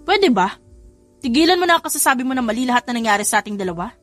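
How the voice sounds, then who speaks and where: A woman's voice: fairly high pitch, expressive, rapid-fire, a bit bright, soft background music. A woman voicing an earnest young man in a romance audio story, speaking in an insistent, emotional, pleading manner. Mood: pleading, intense.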